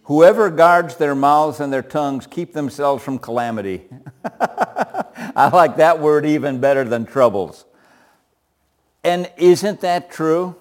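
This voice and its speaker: A man with a loud voice leading a group Bible study, often chuckling and putting questions to the group. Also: on the high side, takes it slowly, long pauses.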